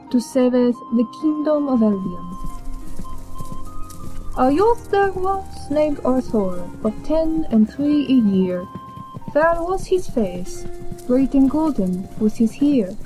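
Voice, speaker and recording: Young woman reading an old timey tale, real slow, soft dark tone, music behind. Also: high voice, poor audio. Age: young adult. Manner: measured, declamatory. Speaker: storyteller, college student. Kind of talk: scripted narration of an archaic verse tale. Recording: music under the voice.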